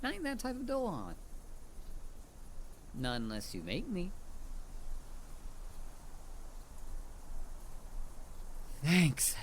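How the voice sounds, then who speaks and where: Man acting as chatty severed head, wildly swooping pitch. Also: wildly swooping pitch, unhurried, a little hiss or hum, heavily acted. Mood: cajoling, easygoing. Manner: casual, rambling, hesitant with stammered repeats. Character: chatty, mischievous talking severed head of a male dullahan. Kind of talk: audio roleplay addressed to the listener. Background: ambient forest sound effects.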